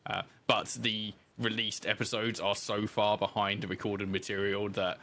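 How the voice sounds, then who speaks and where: A man's voice: fairly low pitch, flat delivery, moderate pace, bright, forceful tone. A man being interviewed as a podcast guest, explaining things in a casual, informal conversational way.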